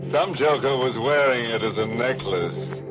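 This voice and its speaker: A man playing a gleefully morbid horror host on vintage radio, theatrical and sardonic with drawn-out phrasing. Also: mid-range voice, natural voice. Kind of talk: 1940s radio horror hosting. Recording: dull vintage radio recording with music.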